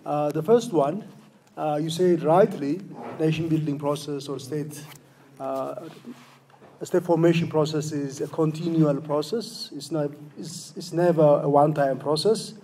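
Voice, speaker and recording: A man from the floor poses a long question. He hesitates often and restarts. His voice sits a little high, with a warm, mellow tone. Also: steady tone, a little slow, slight background noise. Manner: hesitant, many fillers and restarts. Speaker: audience questioner.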